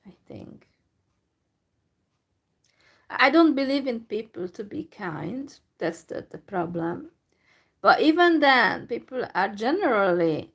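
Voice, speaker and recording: A woman from Hungary with a Hungarian accent, talking in a live video monologue in a downcast, resigned way, full of hesitant pauses. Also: a little high, vocal fry. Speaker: YouTuber.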